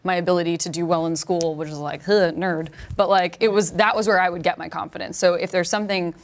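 A woman with a deep voice, a podcast guest giving earnest advice in casual chat. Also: steady tone, quick pace.

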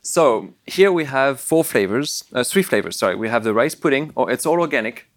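A man in his thirties from southern France with a French accent, pitching his startup to investors in an earnest, slightly halting way. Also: expressive, no room sound, studio quality. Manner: earnest, plain and a bit halting.

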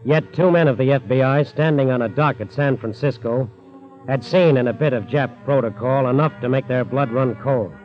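A man's voice: medium pitch, normal speed, close to an everyday voice. A man narrating a 1940s radio crime drama in a solemn, emphatic, dramatic style. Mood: grave, suspenseful. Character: grave, dramatic male documentary crime narrator. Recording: tinny 1940s radio recording. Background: music.

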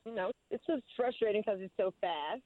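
A woman with a deep voice, a stage actress chatting casually in an interview, often saying "you know.". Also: expressive, fairly quick, no room sound, phone-quality sound. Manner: laid-back, with frequent "you know".

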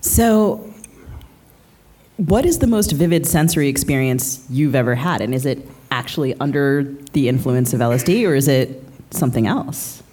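A woman's voice: extremely low pitch, expressive, normal speed, dark tone, slightly rough. A woman talking conversationally as a podcast co-host in a live interview. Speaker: podcast co-host, writing teacher. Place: live stage at a book fair.

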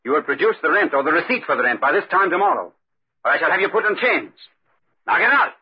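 A haughty, suspicious lord of the castle, with a husky voice that swings widely in pitch. The 1940s radio drama sound is dull and muffled. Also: male voice, very animated, fast, exaggerated voice. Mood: angry, threatening.

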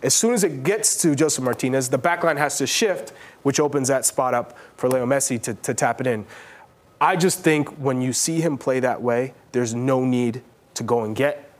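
A man's voice: medium pitch, moderate pace. A man who is a former player and soccer pundit, breaking down play on a sports panel with emphatic repetition. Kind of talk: analytical sports panel discussion.

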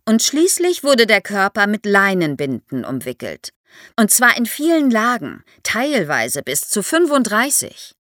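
A woman's voice: mid-range voice, huge pitch swings, measured pace, fairly bright tone, no echo, clean studio sound. A woman explains history to young listeners as an expert guest, in a didactic way, posing questions as she goes.

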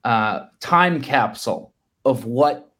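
An American man in his thirties chatting casually as a sports podcast co-host, in a halting, conversational way. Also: medium pitch, lively intonation, sharp tone.

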